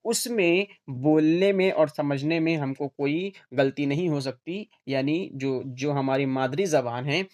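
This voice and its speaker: A man's voice: a little high, measured pace, hardly any pauses, darkish tone. A man teaching an online class in an informal lecture style, restating each point for his students.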